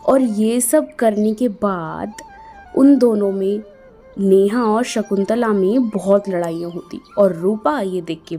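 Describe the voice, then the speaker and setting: A woman narrating a storybook tale in a plain, unhurried way. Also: a little high, mellow.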